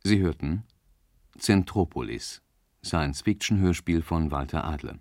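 A man's voice: sits low, expressive, unhurried, darkish tone, no echo, clean studio sound. A man reading a scripted closing announcement as a radio announcer.

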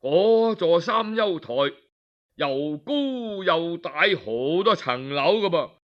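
A man with a Cantonese accent telling a historical tale in an expressive, colloquial oral storytelling style. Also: fairly high pitch, takes it slowly, dull audio. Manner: expressive, colloquial, acts out dialogue. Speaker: storyteller narrator.

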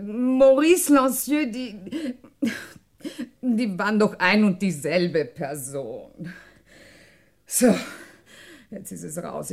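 A melodramatic, gossipy landlady in a 1960s radio crime play. Her husky, creaky voice swings up and down as she gasps out halting, theatrical exclamations. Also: mid-range voice, darkish tone, exaggerated voice. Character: excitable, frightened, gossipy French landlady, melodramatic. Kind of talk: 1960s German radio crime drama acting.

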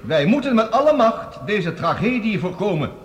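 A grandiose professor in a radio drama. His high, widely swinging voice declaims solemnly, echoing through a large hall. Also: big pitch swings, normal speed. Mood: solemn, oratorical. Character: eminent, grandiose male professor delivering an oratorical address. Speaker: actor. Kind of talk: Dutch radio play acting, oratory. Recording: echoing hall, background noise.

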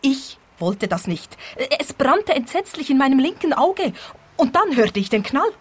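A woman's voice: high voice, very animated, a little slow. A woman playing a lovelorn, wounded dancer in a radio play, speaking in an earnest, emotional way. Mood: confessing, hurt.

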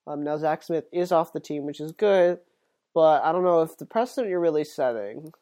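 A man's voice: on the high side, normal speed, mellow. A man hosting his own sports podcast while sick and sniffly, talking casually and rambling with plenty of fillers and restarts. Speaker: podcast host.

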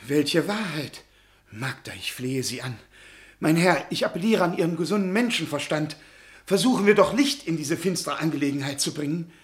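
An actor playing a naive young scholar who speaks earnestly and pleads, in a radio play. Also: male voice, a little high, animated, moderate pace, lightly acted. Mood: pleading.

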